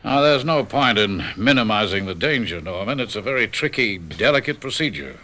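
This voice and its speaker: An actor playing a middle-aged American doctor in a radio drama, a frank and reassuring old friend who talks in a deliberate, professional way. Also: male voice, lowish voice, lively intonation, sharp tone. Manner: deliberate, professional, plain-spoken.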